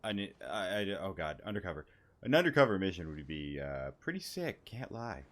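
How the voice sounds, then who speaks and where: A man's voice: deep voice, big pitch swings, brisk, a bit bright. A man doing casual, slangy, rambling commentary over a video game and reading dialogue options aloud, game music behind. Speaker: gaming streamer.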